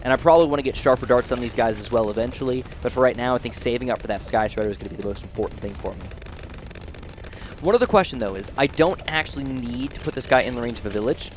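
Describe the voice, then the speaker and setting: A young man with a nasal, youthful-sounding voice thinks out loud as he plays, rambling and then bursting into excited exclamations. His pitch sits in an average range. A faint hiss of noise runs under the recording. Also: quick pace. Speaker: gaming channel host. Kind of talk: casual gameplay commentary.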